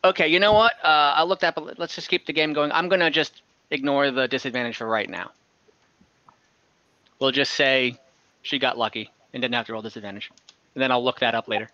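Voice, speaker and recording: A young man acting as dungeon master on a video call, easygoing and quick to wave off rule slips. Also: mid-range voice, steady tone, bright, forceful tone, dry recording. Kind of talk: running an online tabletop game. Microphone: headset on a video call. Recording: dull lo-fi video call audio.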